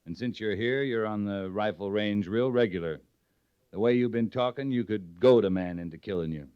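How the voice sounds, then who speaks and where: Man playing low, stern cavalry captain. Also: clean tone, no echo, close to an everyday voice. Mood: stern, measured. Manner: measured, commanding, terse. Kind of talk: 1950s Western radio drama acting. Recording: muffled old radio broadcast recording, dry.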